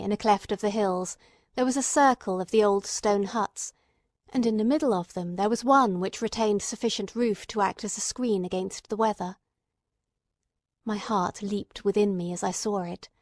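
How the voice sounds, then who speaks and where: A woman reading scripted literary narration as an audiobook narrator, in a measured, carefully phrased storytelling manner. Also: mid-range voice, darkish tone, no echo, clean studio sound.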